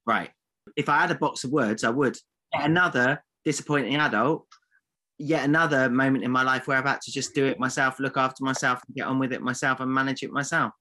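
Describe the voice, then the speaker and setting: A man's voice: mid-range voice, steady tone, fairly quick, bright, forceful tone. A man co-hosting a comedy podcast, teasing and theatrically mock-insulting his partner. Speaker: podcast co-host. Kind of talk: comic banter.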